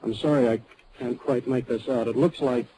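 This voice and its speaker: Man doing a friendly fussy county clerk, mellow voice, faint hiss, old radio play. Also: medium pitch, steady tone, normal speed, lightly acted, rough recording. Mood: genial. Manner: genial, fussy, chuckling. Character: polite middle-aged county recorder clerk. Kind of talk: 1940s radio acting.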